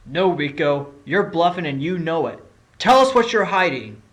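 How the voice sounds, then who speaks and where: A man's voice: a little high, animated, moderate pace, heavy background noise, lightly acted. A man playing a confident fox defence lawyer with an assertive, probing courtroom manner in an audio drama.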